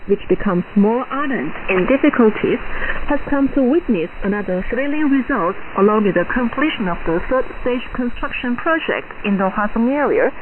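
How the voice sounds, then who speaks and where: A woman's fairly high voice comes through a narrow, static-laden shortwave broadcast. She reads state news. Her delivery is formal, solemn and measured. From North Korea. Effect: shortwave radio. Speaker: newsreader. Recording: noisy, narrow-band AM shortwave radio reception.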